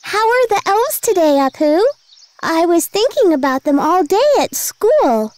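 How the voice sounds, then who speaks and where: Very high-pitched sweet little girl. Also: sing-song, measured pace, darkish tone, heavily acted. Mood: earnest, eager. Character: sweet little girl, caring and eager helper. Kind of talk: animated children's story dialogue.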